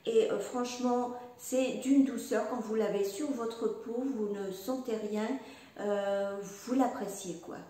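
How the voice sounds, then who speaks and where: Slow, hesitant woman in an echoey room. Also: fairly high pitch, mellow, recorded from a distance. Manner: hesitant, many "euh" fillers and restarts; rambling, chatty, self-deprecating. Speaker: knitting and crochet podcaster. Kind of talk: casual chat showing knitwear.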